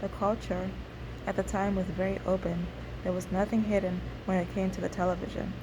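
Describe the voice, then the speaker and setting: A woman whose native language is Igbo narrates a scripted first-person memoir, reading in a halting way with occasional slips. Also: medium pitch, little pitch movement, noisy background. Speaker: memoir author reading own story.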